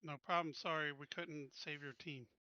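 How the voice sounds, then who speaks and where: A man plays a tabletop game in a fairly high, very sharp and bright voice. He talks casually. The track is dry but slightly dulled. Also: steady tone, moderate pace, dry recording, muffled recording.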